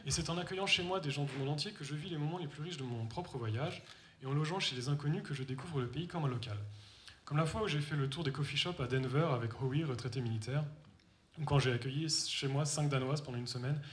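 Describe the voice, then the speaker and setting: A young man from France tells a funny personal tale to a live audience in a self-mocking, confiding way. His voice sits a little high. The stage microphone picks up the echo of the hall and a faint hum. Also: fast talker. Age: young adult. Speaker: stage storyteller. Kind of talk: comic personal storytelling on stage. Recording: echoey hall with faint noise.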